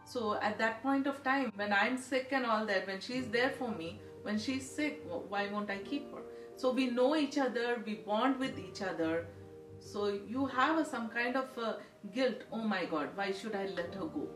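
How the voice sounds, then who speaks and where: A woman's voice: on the high side, moderate pace, over music. A middle-aged mother speaking earnestly in an interview, restarting her sentences. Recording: echoey room, distant mic.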